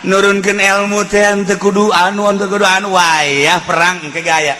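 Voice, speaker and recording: A man with a Sundanese accent preaching in an animated, earthy, joking storytelling style. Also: high-pitched, moderate pace, a little hiss or hum. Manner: animated, breaks into shouts and laughter. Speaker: Islamic preacher. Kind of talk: humorous storytelling sermon.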